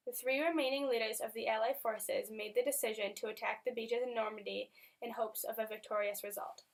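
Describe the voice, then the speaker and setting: A woman's voice: fairly high pitch, fairly even intonation, brisk. A woman reading out a scripted newsreel about history. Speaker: student newsreel narrator. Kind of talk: scripted newsreel narration, read aloud.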